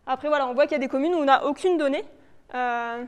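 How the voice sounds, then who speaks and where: A woman's voice: high-pitched, fast, long reverb tail. A woman giving an informal, slide-based presentation as a project presenter, explaining in a hesitant, loosely improvised way. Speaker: presenter for a local authority biodiversity project. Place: reverberant indoor meeting room, live audience presentation.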